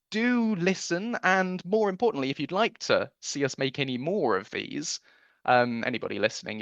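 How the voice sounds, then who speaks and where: A man's voice: mid-range voice, gravelly, fairly bright tone, no room sound, muffled recording. A man giving a conversational radio interview as a guest producer, speaking in an earnest, enthusiastic way.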